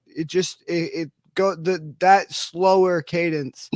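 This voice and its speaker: A man hosting a rap cypher talks in a somewhat high voice, rambling and swearing through his praise. He restarts often and leans on fillers. The sound is close and dry. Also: fairly even intonation, takes it slowly, darkish tone, no room sound. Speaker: cypher host. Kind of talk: casual chat.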